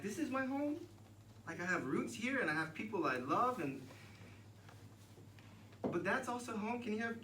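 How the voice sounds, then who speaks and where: A man in his thirties from Texas with an American accent, telling a joking yet reflective personal story live on stage. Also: a little high. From Texas, United States. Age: 30s. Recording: echoey room, distant mic, noisy ambience.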